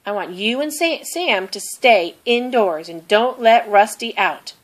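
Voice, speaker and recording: Farmer mum read by a female narrator, firm and polite, measured storybook delivery. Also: a little high, animated. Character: brisk farmer mother, Missus Boot.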